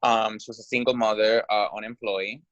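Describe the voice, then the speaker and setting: Earnest man, low and dry. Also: level tone, brisk, fairly bright tone, no room sound, lo-fi sound. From Puerto Rico. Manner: hesitant, many fillers and restarts, earnest. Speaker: guest, political candidate. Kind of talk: interview answers.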